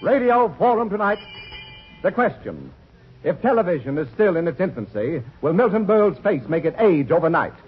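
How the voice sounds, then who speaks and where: An actor playing a pompous announcer in a 1940s radio comedy sketch. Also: male voice, high-pitched, very animated, normal speed, a little hiss or hum. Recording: tinny old radio broadcast.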